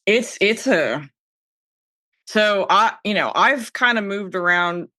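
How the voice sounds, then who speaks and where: A woman with an American accent and a bright, forward voice talks as a podcast guest. She tells stories informally, interrupting herself as she goes. The sound is dry, with little room around it. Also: mid-range voice, lively intonation, slow, no room sound. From South Jersey, United States. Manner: informal, frequent self-interruptions. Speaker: podcast guest, audio and video producer. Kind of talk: casual chat, personal storytelling.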